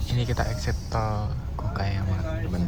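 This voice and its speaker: A man's voice: on the low side, fairly even intonation, moderate pace. A man narrating a casual travel vlog to his viewers from a bus ride. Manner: chatty, addresses viewers as friends. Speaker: vlogger. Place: inside a moving bus.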